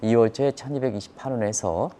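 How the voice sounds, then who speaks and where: A man's voice: mid-range voice, fast, mellow, a little hiss or hum. A man giving live financial news commentary, explaining step by step, repeating key terms and putting rhetorical questions to viewers. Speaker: economic commentator.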